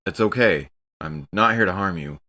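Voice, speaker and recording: A man's voice: deep voice, moderate pace, pushed and bright. A man playing a tabletop game on a podcast, talking casually and lightly in character.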